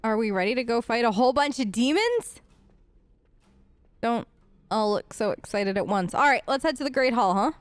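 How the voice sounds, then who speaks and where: A woman's voice: on the high side, expressive, moderate pace, long pauses, no room sound. A woman doing casual role-play commentary for a video game, wry and conversational, on a close microphone. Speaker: let's play host.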